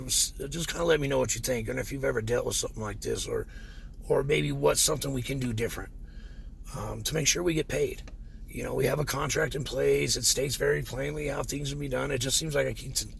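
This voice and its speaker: Hoarse, gravelly man venting. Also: mid-range voice, slight background noise. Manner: frustrated, rambling, many fillers. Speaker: pond contractor. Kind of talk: casual vlog rant.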